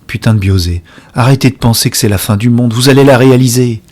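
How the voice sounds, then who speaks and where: A man's voice: medium pitch, fast talker, lightly acted. An actor playing an anxious, impatient man searching for his friend in a French science-fiction audio drama. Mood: urgent, exasperated. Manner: alternates urgent dialogue with hushed introspective narration. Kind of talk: French audio drama acting with first-person present-tense narration.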